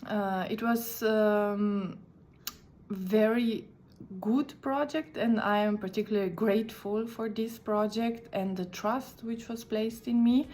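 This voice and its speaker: A woman from Slovenia, a native Slovene speaker with a Slovene accent, talking in a recorded video message as an interpreter, careful and halting with many fillers. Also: mid-range voice, fairly even intonation, a little mellow.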